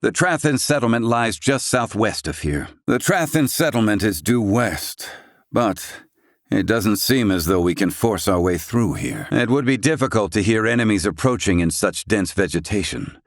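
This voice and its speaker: A bookish, proud scholar-healer from a fantasy video game. His voice sits fairly low but swings widely in pitch, captured dry and close. He speaks with pompous, old-fashioned formality and a curt, exacting manner. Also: lively intonation, normal speed, dry recording. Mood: brisk, stern. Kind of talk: video game character dialogue.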